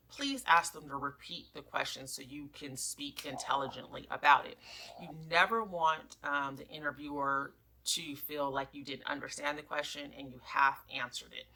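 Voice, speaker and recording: A woman's voice: deep voice, sharp tone, rough recording. A woman presenting instructional career tips as a human resources coach, stressing headings and key phrases emphatically. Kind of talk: instructional advice talk, numbered tips.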